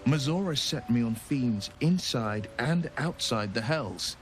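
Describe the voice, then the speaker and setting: A man's voice: medium pitch, expressive, measured pace, a little hiss or hum, natural voice. A man playing an earnest, noble young monster hunter in a video game, with a dramatic delivery. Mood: bitter, determined.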